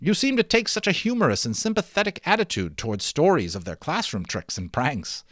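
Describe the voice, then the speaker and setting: A man voicing a friendly, curious gentleman in a storybook, speaking in a polite, enthusiastic, expressive way. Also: a little high, brisk, no room sound, studio quality, pitched above the performer's own voice. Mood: warmly curious.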